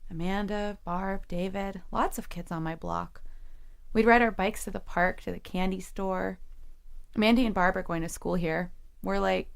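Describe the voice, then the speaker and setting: A woman's voice: medium pitch, steady tone, normal speed, vocal fry, clean tone, lightly acted. Young woman, defensive, sarcastic, guarded, audio drama character acted by a woman. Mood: guarded, reflective.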